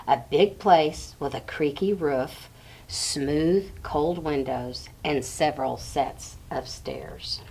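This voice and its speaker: Lower-voiced woman reading a storybook aloud. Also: slight background noise, poor audio. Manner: pauses between short phrases, addresses young listeners. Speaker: storybook reader for children. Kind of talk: read-aloud storytelling for young children.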